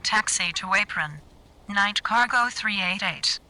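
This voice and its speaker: A businesslike female cargo pilot voiced by a woman in a flight game, with a very bright, forceful voice. She gives clipped, formal radio readbacks at a middle pitch. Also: measured pace, a little hiss or hum, poor audio. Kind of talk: scripted radio phraseology.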